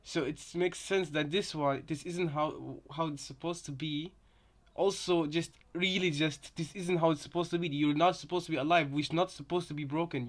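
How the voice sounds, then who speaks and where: A man giving casual reaction commentary on game voice lines, rambling and halting, often repeating his phrases. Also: fairly high pitch. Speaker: reaction video host.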